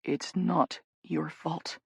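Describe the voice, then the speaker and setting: Woman voicing gentle young man, low murmur, soft, slow, dry close sound. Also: steady tone, no room sound. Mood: soothing. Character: gentle young male hockey player comforting his partner.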